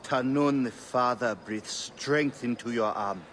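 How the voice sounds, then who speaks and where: An actor playing a troubled Egyptian high priest in a video game, speaking with solemn weight. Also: male voice, slow, a bit bright, a little hiss or hum. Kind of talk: dramatic video game acting.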